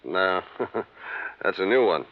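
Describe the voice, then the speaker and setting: A man's voice: sits low, lively intonation, slow pace. An actor in a 1950s radio drama playing a loyal, self-assured executive in his thirties, speaking matter-of-factly. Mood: guarded. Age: 30s. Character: smooth, loyal oil company lieutenant, about thirty-five. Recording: dull old radio recording.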